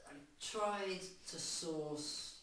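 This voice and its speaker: A middle-aged man from Cardiff, answering interview questions in a halting way, often repeating words and restarting. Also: sits high, pushed and bright. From Cardiff, Wales. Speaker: guitarist and producer. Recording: distant, very echoey room with faint noise.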